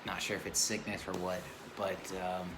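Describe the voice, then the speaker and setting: Guy w a raspy sore voice rambling to his viewers, kinda low, some noise behind. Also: flat delivery, normal speed. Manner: laid-back, rambling with many fillers. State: sore, hurting voice. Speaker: car vlogger. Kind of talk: casual vlog to camera. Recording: clear background noise.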